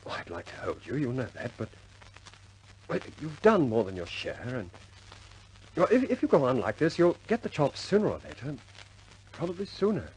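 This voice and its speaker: An actor playing an earnest, self-doubting young RAF squadron leader in a 1950s British war film, hesitant and understated. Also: male voice, medium pitch, wildly swooping pitch, moderate pace, long pauses, slight background noise, poor audio. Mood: regretful.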